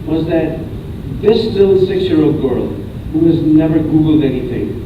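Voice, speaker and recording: A man with a booming voice giving a conference keynote, telling a story with dramatic pauses and emphatic repetition. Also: expressive, background noise. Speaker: conference speaker. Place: conference hall. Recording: echoey hall from far off, dull sound, music behind.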